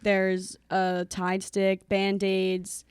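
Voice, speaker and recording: A chatty young woman co-hosting a podcast, her voice mid-pitched for a woman and recorded close and dry. She talks casually, tossing in quick asides and laughing often. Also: monotone, darkish tone, smooth, no room sound. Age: young adult. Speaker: podcast co-host. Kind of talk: casual chat.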